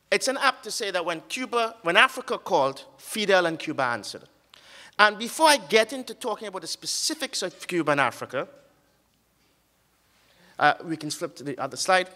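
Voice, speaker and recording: A man giving an academic conference talk with slides, earnest and halting, often pausing on fillers and restarting his sentences. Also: high-pitched, pushed and bright, in a large hall. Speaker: conference speaker. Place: conference hall.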